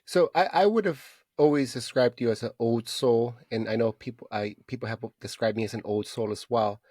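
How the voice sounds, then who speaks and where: A man's voice: mid-range voice, moderate pace, a little mellow, no room sound. Casual man in his thirties, dry close sound. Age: 30s. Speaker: podcast co-host. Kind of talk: casual chat.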